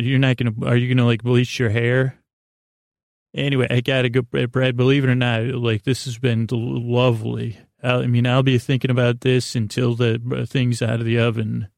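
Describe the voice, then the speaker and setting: Creaky-voiced man rambling as podcast host. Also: medium pitch, darkish tone, no room sound, clean studio sound. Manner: meandering, halting, repeats and restarts himself; silly, playful banter with an imaginary guest. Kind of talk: rambling bedtime podcast monologue.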